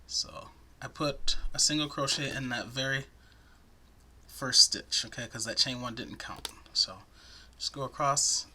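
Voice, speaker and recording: Soothing soft-spoken male crochet teacher. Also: medium pitch, a little slow, in a whisper. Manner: soft-spoken, chatty, self-deprecating asides. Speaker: crochet tutorial host. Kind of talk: step-by-step crochet instruction.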